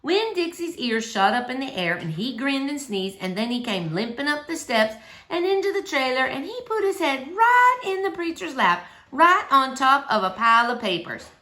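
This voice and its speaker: A woman narrating a children's novel aloud in an expressive, animated storytelling manner for children. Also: high-pitched, moderate pace. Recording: roomy, echoey indoor sound.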